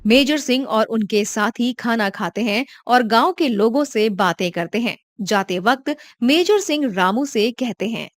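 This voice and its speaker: A woman's voice: on the high side, expressive, measured pace, hardly any pauses, dry recording. A woman reading scripted narration for a moral cartoon story as its storyteller.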